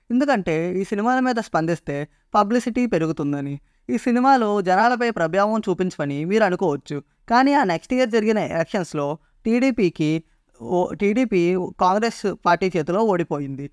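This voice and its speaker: A man's voice: high voice, clear, resonant tone, no room sound, clean studio sound. A man presenting a scripted explainer as a video narrator.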